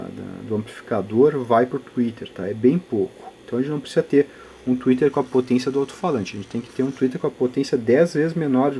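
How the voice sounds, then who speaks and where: Man, Brazilian Portuguese accent, mid pitch, informal technical teaching, halting phrasing, frequent check-in tags, clearly noisy background. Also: mellow. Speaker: audio channel host and reviewer. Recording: clear background noise, room ambience.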